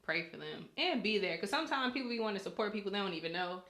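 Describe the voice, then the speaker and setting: Bright-voiced woman chatting in a roomy space. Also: mid-range voice, animated, racing. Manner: colloquial, informal. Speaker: podcast co-host; news anchor. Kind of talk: casual chat.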